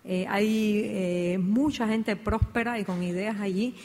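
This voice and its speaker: A Cuban woman speaking at a public talk and answering audience questions, measured and reflective, often tagging her points with "¿no?". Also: mid-range voice, darkish tone. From Cuba. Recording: long hall reverb.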